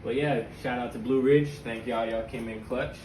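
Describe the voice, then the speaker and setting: A man with a mid-pitched voice talks like a casual YouTube host, using slang and speaking right to the viewer. He moves between hands-on explaining and personal storytelling. The homemade recording carries clear background noise. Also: steady tone, normal speed, darkish tone, low-quality recording. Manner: informal, slangy, addresses viewers directly. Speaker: YouTube vlogger and channel host.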